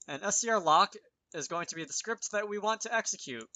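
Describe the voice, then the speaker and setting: A man's voice: sits high, expressive, brisk, sharp tone. A man presenting an informal programming tutorial, thinking aloud with self-deprecating asides as he explains. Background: keyboard typing and mouse clicks.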